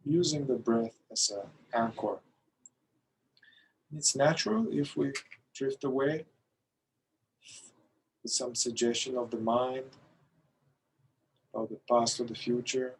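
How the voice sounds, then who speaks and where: A man leading a guided meditation in a calm, gentle manner, pausing between short phrases. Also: medium pitch, a little hiss or hum, poor audio. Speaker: meditation guide, somatic therapist.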